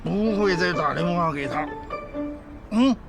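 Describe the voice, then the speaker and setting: A man's voice: sits high, a little slow, creaky, over music. An actor playing an earnest, aggrieved, lovelorn man in a screen drama. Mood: pleading.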